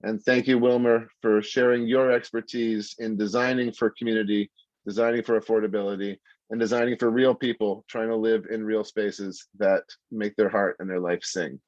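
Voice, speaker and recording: A man's voice: lowish voice, flat delivery, normal speed, dry recording. An earnest man introduces a speaker over a video call, his words broken by fillers and restarts. His sound is dull and muffled, in a very dry space. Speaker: host. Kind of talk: online lecture introduction.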